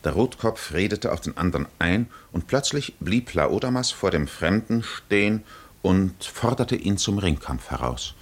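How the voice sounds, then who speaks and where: A man narrating a literary 1950s radio drama in measured, deliberate phrases with pauses. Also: sits low, lively intonation.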